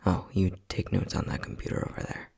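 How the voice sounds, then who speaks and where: A man's voice: a little fast, darkish tone, close to an everyday voice. A man playing an unhinged, bossy scientist who teases and condescends in a sardonic ASMR roleplay. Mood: smug, playful. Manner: sardonic, teasing, condescending, with frequent sighs.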